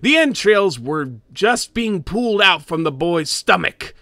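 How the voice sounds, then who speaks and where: A man voicing a greedy, grumpy cartoon crab boss who reads a horror story in a theatrical, expressive way. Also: high voice, unhurried, no room sound, clean studio sound, heavily acted. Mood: dramatic, ominous. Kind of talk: dramatic creepypasta reading.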